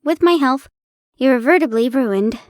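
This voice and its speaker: A young woman in a playful roleplay, chatting warmly to the listener and reading an old story aloud, giggling and stumbling over old place names. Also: high voice, expressive, normal speed, no echo, clean studio sound. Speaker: audio roleplay performer.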